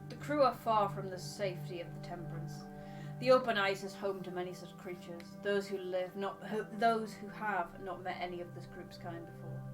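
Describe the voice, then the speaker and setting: A woman's voice: lowish voice, normal speed. Woman playing a story game over music. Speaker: game player on a playthrough. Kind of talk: casual chat and reading game choices aloud.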